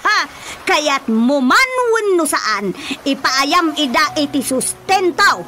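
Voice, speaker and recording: Fed-up wife for radio drama: confrontational, high voice with big swoops, standing her ground. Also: very animated, slow, slight background noise, heavily acted. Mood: angry, defiant. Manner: sharp-tongued, confrontational.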